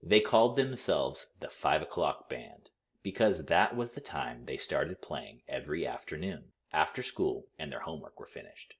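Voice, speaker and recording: Low-voiced man reading a storybook, very animated. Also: normal speed, dull audio. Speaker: storybook read-aloud reader. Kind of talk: expressive scripted children's story narration.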